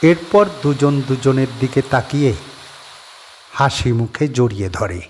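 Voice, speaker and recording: A man narrating a horror audio story at a steady, measured pace, his pitch sitting in the middle range. A faint hiss of noise lies under his voice.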